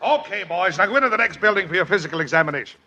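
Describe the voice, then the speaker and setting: An actor playing a prissy, sarcastic flying school instructor with snippy, drawn-out delivery in an old radio comedy. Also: male voice, sits high, lively intonation, lo-fi sound. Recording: noisy vintage radio recording.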